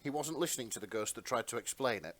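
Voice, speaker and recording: A man's voice: medium pitch, animated, fast, fairly bright tone, dry recording. A man narrating a story in a dramatic reading style for an amateur fan fiction performance. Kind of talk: dramatic narration and casual banter.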